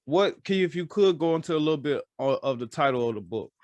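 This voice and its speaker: A man's voice: a little high, animated, fast talker, darkish tone, no echo. A man hosting a casual interview podcast, repeating phrases as he feels his way into each question. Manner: hesitant, repeats phrases while forming questions. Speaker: podcast host.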